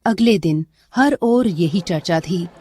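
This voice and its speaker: Slow, mellow woman storyteller. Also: lowish voice, expressive, husky. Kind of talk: scripted dramatic story narration.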